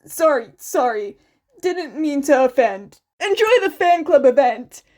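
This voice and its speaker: A woman's voice: sits high, animated, unhurried, a little mellow. A woman voicing a friendly, gushing male cosplay fan who chatters eagerly in a story reading. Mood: apologetic, cheerful.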